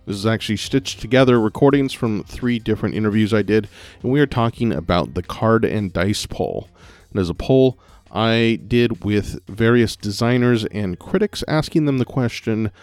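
Host read: man, low and smooth voice, dry close sound, measured conversational delivery. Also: lively intonation, darkish tone, no room sound. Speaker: podcast host. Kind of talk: podcast interview hosting.

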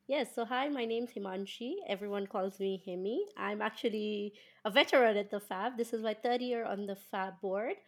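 A woman's voice: mid-range voice, normal speed, hardly any pauses. A young woman from Kenya hosting a podcast, opening and steering the talk in an upbeat, fluent way. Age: young adult. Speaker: podcast host. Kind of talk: casual podcast chat.

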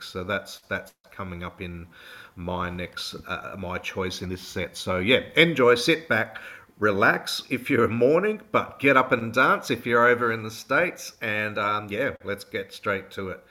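Croaky Australian man hosting radio. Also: lowish voice, measured pace, pushed and bright. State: hoarse. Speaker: radio co-host. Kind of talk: casual radio chat. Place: radio studio.

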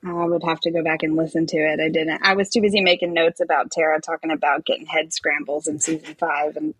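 A woman's voice: sits low, flat delivery, fast talker, slightly rough, dry recording, low-quality recording. A young adult woman co-hosting a podcast in casual, conversational recap chat. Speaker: podcast co-host.

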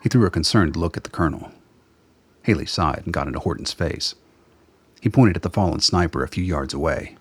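A man with a very deep, husky voice reading scripted narration for a radio drama. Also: animated, brisk, no echo.

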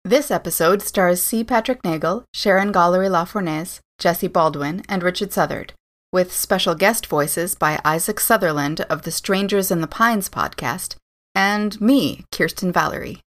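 A woman's voice: fairly low pitch, moderate pace. A woman voice actor reading scripted cast credits for an audio drama.

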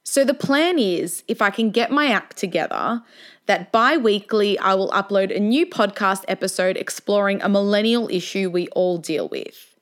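Woman, 20s, podcast host, scripted narration, self-deprecating, smooth clear voice. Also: medium pitch, lively intonation, normal speed.

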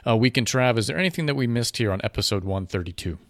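A man's voice: mid-range voice, lively intonation, quick pace, dry recording, clean studio sound. A man co-hosting a casual boxing podcast from a gym's podcast room, plugging events and sponsors between chat. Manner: promotional, plugs sponsors and events. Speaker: podcast co-host. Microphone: studio mic with headphones.

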